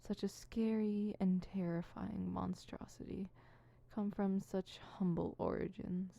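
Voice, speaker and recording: A woman's voice: medium pitch, fairly even intonation, measured pace, vocal fry, dark tone. A woman playing an insecure, theatrical supervillain rehearsing monologues in an ASMR roleplay, switching between fumbling mutters and pompous recital. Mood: grandiose, brooding. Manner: fumbling self-conscious muttering broken by pompous verse recital. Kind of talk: ASMR roleplay, scripted solo audio drama.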